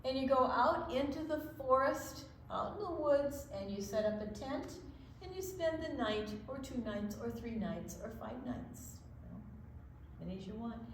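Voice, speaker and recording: Expressive woman hosting children's storytime. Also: high voice, slight background noise, poor audio. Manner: animated, drawn-out words for young listeners. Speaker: children's storytime host and reader. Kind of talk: expressive read-aloud and friendly chat.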